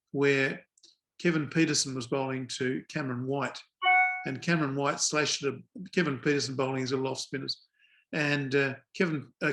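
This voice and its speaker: An older man, a guest telling anecdotes in a relaxed podcast interview, with dry understated humour and measured pauses. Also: medium pitch. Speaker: guest, former cricket umpire.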